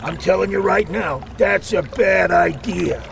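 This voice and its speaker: A man playing a hot-headed, wisecracking Italian-American gangster in a video game, with a brash New York accent and a gravelly voice. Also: sits high, unhurried, noisy background, full character voice. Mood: confrontational. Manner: brash, tough-talking, slangy. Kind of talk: video game cutscene acting.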